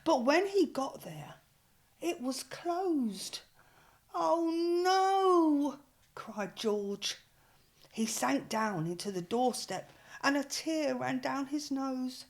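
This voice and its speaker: High-voiced, unhurried woman storyteller. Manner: gentle, expressive bedtime reading. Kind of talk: children's storybook reading.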